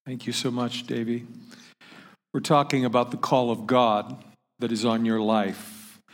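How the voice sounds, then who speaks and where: A man's voice: mid-range voice, flat delivery, measured pace. A man pastor preaching a sermon in a conversational way, often restarting his phrases.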